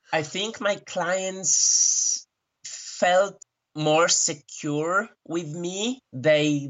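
A man from Poland with a Polish accent, giving thoughtful interview answers as a guest, pausing often and correcting himself. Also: medium pitch, a bit bright. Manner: thoughtful, frequent pauses and self-corrections. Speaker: interview guest who works with migrants. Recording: dull lo-fi recording.

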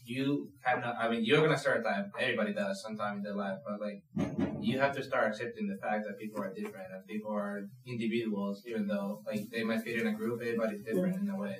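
A man's voice: lowish voice, fast talker. A man from Spain with a Spanish accent, speaking earnestly as a student in a classroom discussion. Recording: echoey classroom from far off.

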